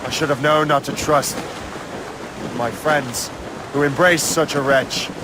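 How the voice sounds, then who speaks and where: An actor playing a brooding young Irish sea captain with a dry, terse manner, in a dramatic game cutscene. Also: male voice, fairly high pitch, steady tone, unhurried, background noise, close to an everyday voice. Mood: dry, wry. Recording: waves and ship ambience behind voice.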